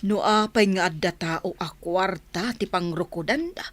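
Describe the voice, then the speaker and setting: A woman's voice: on the low side, unhurried, lightly acted. A woman with a Filipino accent playing a concerned, sympathetic godmother in a radio drama.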